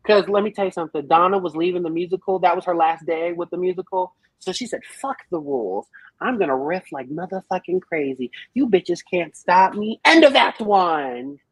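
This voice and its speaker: A woman's voice: lowish voice, animated, normal speed, husky, no room sound, low-quality recording. A woman chatting casually as a podcast panelist about a film, slangy, profane and given to theatrical retellings. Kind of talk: casual animated chat.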